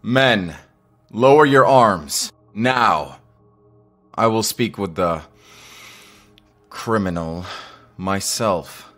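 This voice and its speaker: A man's voice: deep voice, takes it slowly, a bit bright, soft background music, heavily acted. Man als diepe, ernstige, loyale jonge heer. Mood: stern, commanding. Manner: earnest, formal. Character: heartbroken young lord, loyal dutiful human hero. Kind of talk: fantasy audio drama acting.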